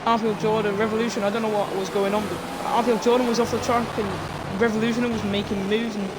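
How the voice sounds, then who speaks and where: Race commentator over loud engine noise. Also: mid-range voice, fast talker. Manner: excitable, stumbling over names. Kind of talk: live esports racing commentary.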